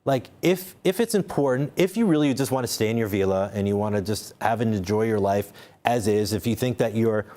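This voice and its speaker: Interview guest, male, lower voice, stumbling repeats, emphatic points. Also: a little fast, mellow. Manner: repeats words while searching for them, then speaks emphatically. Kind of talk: unscripted interview talk. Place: studio.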